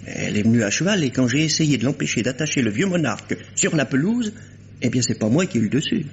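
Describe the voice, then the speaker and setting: An actor playing a chatty, fussy caretaker in a vintage radio drama, folksy and hesitant. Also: male voice, medium pitch, expressive, fast, lightly acted. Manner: folksy, hesitant fillers, gossipy. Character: chatty, fussy male doctor's caretaker, gossipy. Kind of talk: 1950s French radio drama acting. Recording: dull old radio recording with faint hiss.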